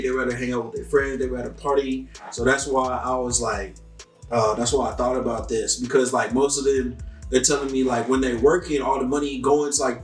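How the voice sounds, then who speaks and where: Young guy rambling laid-back answers, kinda far from the mic in a roomy space, faint music behind. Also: medium pitch. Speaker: musician interviewee. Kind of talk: casual interview answers.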